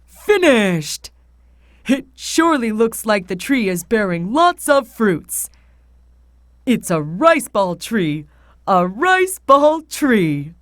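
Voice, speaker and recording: A woman voicing a scheming, excitable husband who talks to himself in a children's storybook. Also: fairly high pitch, slow, no echo, clean studio sound. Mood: pleased. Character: worried, scheming husband who finds gold.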